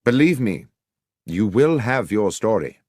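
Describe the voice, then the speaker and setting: An earnest, courteous gentleman of a Victorian detective story, acted by a male narrator. His voice sits fairly low and he speaks with grave, formal politeness. It is recorded close and dry. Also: very animated, measured pace, slightly rough, no room sound, exaggerated voice. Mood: grave, urgent.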